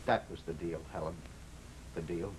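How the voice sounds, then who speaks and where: Guy acting a guilt-ridden husband in an old radio play, lowish voice, earnest and melodramatic, bit of background hiss. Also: steady tone, normal speed, close to an everyday voice. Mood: confessional, sorrowful. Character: troubled, lovesick gambling husband, weary and guilt-ridden. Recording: slightly noisy old radio recording.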